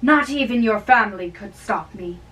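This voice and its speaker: A woman acting a lovestruck, reckless young man in a halting, earnest amateur dramatic reading. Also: medium pitch, lively intonation, fairly bright tone, close to an everyday voice. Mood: bold devotion. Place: small bedroom, indoors. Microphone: far camera. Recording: distant room microphone.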